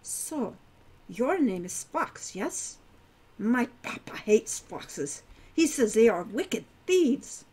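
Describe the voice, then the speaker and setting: A cheerful young centaur girl from a storybook, polite and slightly stiff in her phrasing, voiced by a woman reading aloud. Her voice sits high and moves lively. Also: slow, slightly rough, full character voice. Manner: stiff formal phrasing without contractions.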